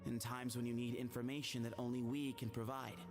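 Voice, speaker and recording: An actor playing a courteous, dignified young heir to a desert temple in a video game, speaking articulately. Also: male voice, medium pitch, little pitch movement, a little fast, close to an everyday voice. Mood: grateful, solemn. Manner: articulate, polite storytelling. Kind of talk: scripted video game dialogue. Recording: loud game music behind. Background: game soundtrack music.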